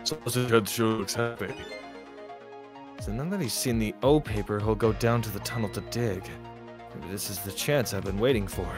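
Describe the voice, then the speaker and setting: A man's voice: lowish voice, moderate pace. A man chatting casually and swearing freely as he plays and reads out a game on a live stream. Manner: casual, profane. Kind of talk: gaming stream chat and line reading. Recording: game music behind voice. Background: game music.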